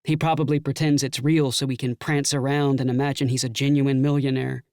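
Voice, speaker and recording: A man's voice: mid-range voice, level tone, brisk, darkish tone, no room sound, studio quality, close to an everyday voice. A man playing a jealous, sarcastic magician who hides his nerves behind theatrical quips in an audiobook drama. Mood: mocking. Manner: wry, theatrical, quippy delivery. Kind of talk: full-cast audiobook dialogue acting.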